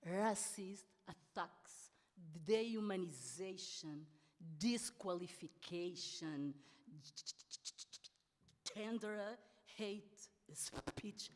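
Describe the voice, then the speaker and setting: Slow-speaking woman with a stutter. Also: medium pitch, expressive. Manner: stutters often, with many pauses and restarts. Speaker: politician and anti-racist activist. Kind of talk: panel talk. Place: large hall at a public event. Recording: long hall reverb.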